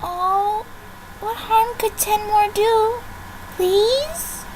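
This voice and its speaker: A woman's voice: extremely high pitch, extremely slow, a little mellow, a little hiss or hum, exaggerated voice, low-quality recording. A woman voicing a bouncy, playful, pleading young female cartoon pony in an amateur fan fiction reading. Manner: bouncy, exaggerated, wheedling.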